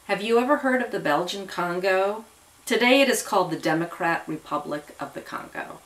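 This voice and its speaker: A woman's voice: medium pitch, a bit bright, close to an everyday voice. Woman reading a grave older army man, distant. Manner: measured, serious, explanatory. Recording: voice distant from the mic.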